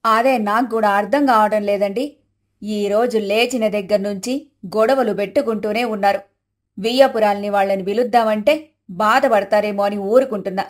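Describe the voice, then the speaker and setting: A woman's voice: medium pitch, normal speed, voice pushed down, lightly acted. A woman voicing a fretful older mother-in-law in a cartoon family story, complaining wearily. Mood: worried.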